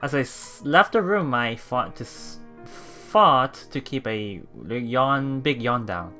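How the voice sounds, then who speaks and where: A let's player reads a visual novel aloud with casual commentary on the side. The delivery is animated and reactive, with very lively swings in pitch. Game music plays behind the voice. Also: slow. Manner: animated, reactive, laughs often. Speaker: let's play streamer.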